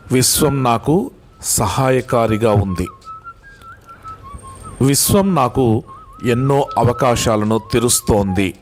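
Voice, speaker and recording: A man leads a guided meditation at an unhurried, slow pace. His pitch sits in the middle range, and he gives calm, deliberate instructions with repeated phrases and long pauses. Faint music plays behind him. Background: music bed.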